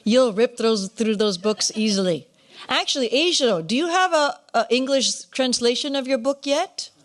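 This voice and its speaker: Animated woman emceeing an awards ceremony. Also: on the high side, normal speed. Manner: animated, playful, exclamatory asides; drops Japanese phrases into English. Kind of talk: live awards ceremony hosting, partly ad-libbed.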